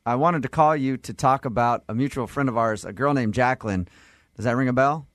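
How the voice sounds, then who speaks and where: A man's voice: mid-range voice, fairly quick, no echo. Casual American male radio host, dry studio sound. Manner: teasing, casual, probing. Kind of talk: bantering radio phone-in segment.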